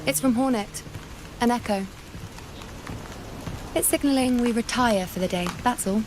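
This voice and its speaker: An actress voicing a calm, poised young priestess in a video game, speaking in a composed, matter-of-fact way. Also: female voice, a little high, measured pace, background noise, close to an everyday voice. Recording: game voice under loud music.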